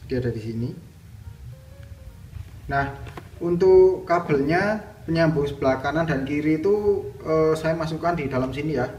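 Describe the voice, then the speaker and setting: A man's voice: on the high side, moderate pace, pauses often, darkish tone. A man casually narrating a hands-on tutorial, explaining step by step as a vlogger. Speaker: vlogger demonstrating a product installation. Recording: dull lo-fi sound, music behind.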